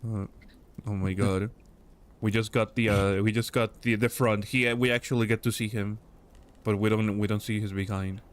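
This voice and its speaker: A man's voice: lowish voice, little pitch movement, normal speed, clear, resonant tone. A man hosting a casual livestream chat, rambling and joking with many fillers. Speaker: stream host.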